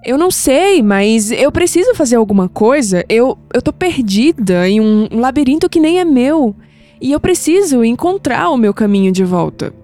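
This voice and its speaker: Actress as anguished young Brazilian granddaughter, softly mellow. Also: female voice, fairly high pitch, very animated, normal speed. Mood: distressed. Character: anguished, skeptical young granddaughter unsettled by past-life visions. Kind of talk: audio drama acting. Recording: music bed under the voice.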